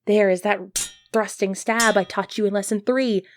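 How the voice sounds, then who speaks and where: A woman's voice: medium pitch, fairly even intonation, moderate pace, slight background noise, natural voice. A woman playing an earnest, easily flustered medieval knight devoted to her prince, speaking politely and formally in an ASMR roleplay. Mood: playful, confident. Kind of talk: ASMR roleplay acting, formal old-fashioned speech.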